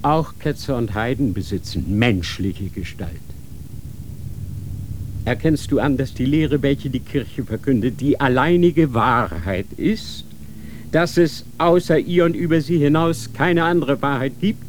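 An actor playing a cold, stern inquisitor who lectures with rhetorical questions. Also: male voice, medium pitch, unhurried, a little hiss or hum, lightly acted. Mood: severe. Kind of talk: radio drama acting.

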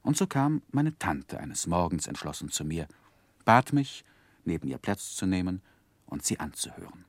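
An actor playing a grown gentleman who looks back on his youth, narrating a 1960s German radio play in a measured, expressive literary manner. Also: sits low, no echo, exaggerated voice. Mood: wistful, amused.

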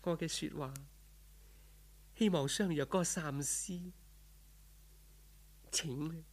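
A man voicing a serene, husky Cantonese female spirit. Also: a little high, slow pace, pitched above the performer's own voice. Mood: firm, detached. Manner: solemn, formal, calmly instructive. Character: serene, gentle female spirit turned heavenly disciple. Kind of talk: audiobook folk tale character.

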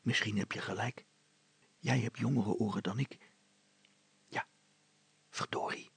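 A man voicing a furtive, grumbling older father in a storybook, hissing in a hushed voice. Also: lowish voice, a little slow, vocal fry, slightly rough, no echo, heavily acted. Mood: wary, hushed.

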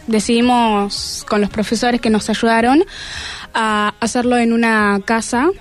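A woman's voice: fairly high pitch, fairly even intonation, unhurried, faint music behind. A teenage young woman answering hesitantly and briefly in a casual interview. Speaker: student scriptwriter, interviewee.

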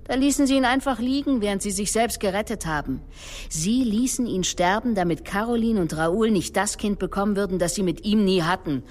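A woman's voice: medium pitch, a little fast, darkish tone, natural voice. An actress playing a sardonic, menacing police detective in a radio drama, needling and sarcastic as she questions suspects. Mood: accusing, sardonic. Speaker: police investigator.

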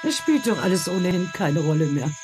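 Eine Schauspielerin spielt im Hörspiel eine ältere, hochmütige Schwiegermutter, boshaft, verächtlich und abweisend. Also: female voice, fairly low pitch, big pitch swings, fairly quick, over music. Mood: scornful, smug. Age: older. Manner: scornful, dismissive. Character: spiteful, haughty older mother-in-law. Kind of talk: radio drama acting.

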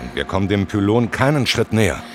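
A man playing a gruff, sceptical stranded traveller in a radio drama, with terse delivery. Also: lowish voice, big pitch swings, a little fast, faint music behind. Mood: weary, wary. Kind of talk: radio play acting.

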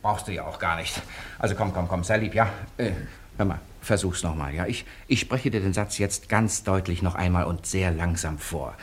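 An actor playing an impatient, sarcastic recording director who coaxes and commands in a German radio play. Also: male voice, deep voice, moderate pace, a little hiss or hum, lightly acted. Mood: coaxing, fed up. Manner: commanding, sarcastic, stop-and-start coaxing. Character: impatient, mocking male recording director, cajoling and bossy. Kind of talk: German radio drama acting.

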